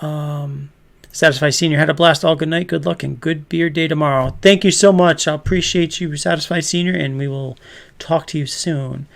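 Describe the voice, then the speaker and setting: A man's voice: a little high, steady tone, moderate pace, creaky. A man in his fifties hosting a casual livestream chat, rambling and laughing often. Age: 50s. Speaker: host.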